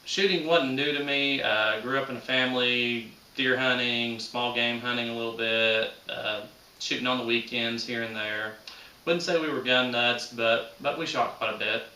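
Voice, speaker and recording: Echoey distant recording, man in his thirties, very bright forceful voice, folksy casual chat, channel host. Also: medium pitch, fairly even intonation, moderate pace, slight background noise. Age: 30s. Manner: folksy, rural colloquial grammar. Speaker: host of a shooting hobby channel. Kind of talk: casual unscripted chat to viewers. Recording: roomy echo, distant microphone.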